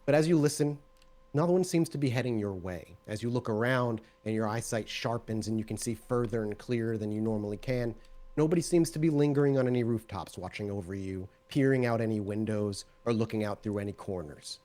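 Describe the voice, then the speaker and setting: A man's voice: fairly low pitch, a little fast, warm tone. A man narrating a tabletop roleplaying game as game master, describing scenes directly to the players.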